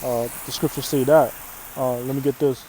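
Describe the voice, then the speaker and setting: A man from Canada whose first language is Arabic explains scripture in a relaxed, chatty way, often breaking off to ask "right?" His voice is warm and mellow at an ordinary pitch, with faint noise in the background. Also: moderate pace, poor audio. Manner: casual, repeats "right?" often, restarts phrases. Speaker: religious teacher. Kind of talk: informal scripture teaching.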